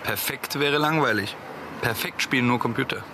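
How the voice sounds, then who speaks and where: An actor playing a dry, confident young tech founder in a naturalistic radio drama. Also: male voice, mid-range voice, moderate pace, noisy background, natural voice. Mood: playful, confident.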